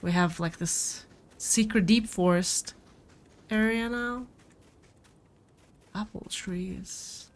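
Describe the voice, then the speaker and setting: A woman's voice: medium pitch, slow, long pauses, slight background noise. A woman with a cold, rambling casually as she plays a farming game and talks to her viewers. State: sick with a cold. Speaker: gameplay streamer. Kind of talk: casual gameplay commentary. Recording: faint game music behind.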